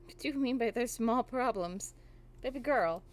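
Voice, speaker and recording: A woman's voice: on the high side, normal speed, soft background music. A woman livestreamer chatting casually while she plays a game. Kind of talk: casual gameplay chat.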